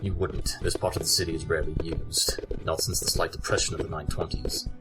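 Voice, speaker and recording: An actor playing a pompous, analytical pony detective in an audio drama, speaking in formal, precise, lecturing sentences. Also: male voice, a bass voice, level tone, a little fast, loud music behind the voice, low-quality recording. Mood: impatient, curt. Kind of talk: audio drama acting, deductive exposition.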